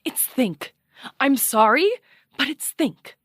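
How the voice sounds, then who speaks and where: An actress playing a proud, combative radio journalist in an audio comedy-drama, haughty, pedantic and exasperated with precise wording. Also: female voice, high-pitched, expressive, slow, a bit bright, slightly rough, dry recording, clean studio sound, heavily acted. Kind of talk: animated audio fiction comedy-drama acting.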